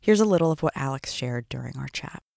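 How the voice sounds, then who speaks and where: A woman hosting an interview podcast, chatty and upbeat with quick asides. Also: rumbling low, animated, creaky, a little mellow, no echo, clean studio sound. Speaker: podcast host. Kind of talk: casual interview chat.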